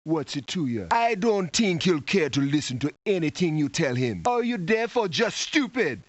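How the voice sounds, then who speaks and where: A man voicing a surly, menacing bartender for a video game, talking in threats and rough street grammar. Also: on the high side, sing-song, moderate pace, no room sound. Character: surly, menacing male bartender who hates cops. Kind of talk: video game character acting.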